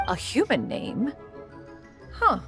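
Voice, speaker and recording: An ancient immortal crane in human form for a fantasy game. She is proud and dignified, with formal, archaic phrasing in a fairly high female voice that rises and falls in lively sweeps. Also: slow, soft background music, full character voice. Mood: composed. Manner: haughty, formal, archaic, refers to herself as "one". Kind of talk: video game character acting.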